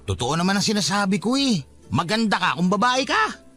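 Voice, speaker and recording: High, animated young Filipino buddy. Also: male voice, a little fast, soft background music, heavily acted. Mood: teasing. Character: teasing young man, mama's boy, smitten with tomboy buddy. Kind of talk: Filipino radio drama acting.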